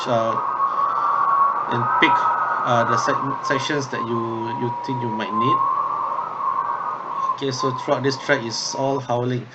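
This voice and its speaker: A man instructor talking through an unscripted tutorial step by step, thinking aloud haltingly and often checking in with okay or yeah. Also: medium pitch, background noise. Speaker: drama workshop instructor.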